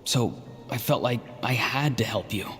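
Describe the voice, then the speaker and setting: An actor playing an earnest, troubled young mage in a video game, speaking in a hushed, searching, quietly determined way. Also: male voice, medium pitch, normal speed, close to an everyday voice. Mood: earnest, resolute. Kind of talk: dramatic video game acting. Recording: long hall reverb, game music underneath.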